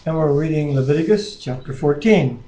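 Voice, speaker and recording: A man's voice: a little high, fairly quick, dark tone, slight background noise. A man in casual devotional talk and scripture reading, speaking in an earnest, plain-spoken way as a co-host, recorded on a webcam microphone. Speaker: co-host reading the Bible aloud. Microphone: webcam microphone at a distance. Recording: echoey room on a webcam microphone.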